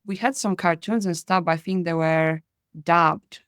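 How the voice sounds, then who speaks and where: A woman's voice: fairly low pitch, moderate pace, clear, resonant tone, no echo, clean studio sound. A woman from Poland, hosting a podcast interview and asking long, chatty questions. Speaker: podcast host. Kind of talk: conversational interview.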